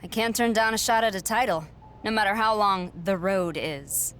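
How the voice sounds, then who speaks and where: A woman's voice: mid-range voice, normal speed, fairly bright tone, slight background noise, close to an everyday voice. A woman playing a confident, determined young wrestling challenger in a video game cutscene.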